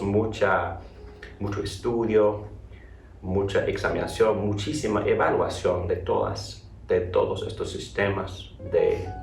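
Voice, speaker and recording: Halting theology teacher, echoey classroom. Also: male voice, deep voice, vocal fry, a little mellow. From North Carolina, USA. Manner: halting, restarts words, repeats phrases. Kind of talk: classroom lecture with opening prayer. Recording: echoey room, voice from far off.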